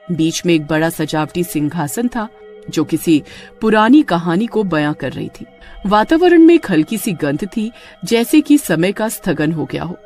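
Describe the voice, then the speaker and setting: Expressive woman narrating a dramatic story. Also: on the low side. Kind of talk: scripted Hindi story narration.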